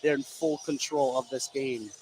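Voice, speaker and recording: A man's voice: mid-range voice, fairly even intonation, moderate pace, darkish tone, soft background music. A man giving live color commentary on a basketball game. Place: indoor high school gym during a game. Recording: noisy gym with ball bounces. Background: game noise, bouncing basketballs.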